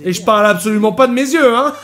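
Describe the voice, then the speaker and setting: A man's voice: high-pitched, quick pace, fairly bright tone. A man giving casual, joking commentary on cartoon episodes, with crude, cheeky wisecracks. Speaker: comedian reacting to cartoon episodes. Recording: music behind the voice.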